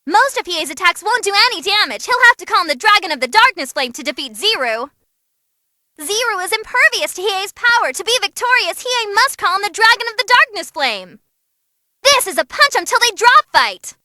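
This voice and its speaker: Squeaky, excitable young demon girl announcer. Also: normal speed, dry recording, clean studio sound, full character voice. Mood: uneasy, then gleeful. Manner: bubbly, cheeky, dramatic. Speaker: fight commentator. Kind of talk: animated fight commentary.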